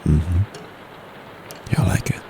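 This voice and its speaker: A man with an Indonesian accent playing a seductive, dominant private tutor in an intimate ASMR roleplay, murmuring and whispering smugly close to the microphone. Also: warm tone. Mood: seductive, coaxing. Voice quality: very deep, gravelly, breathy murmur. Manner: slow, teasing, drawn-out delivery slipping into whispers. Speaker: amateur voice actor. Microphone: close condenser microphone. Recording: close mic with clear background noise.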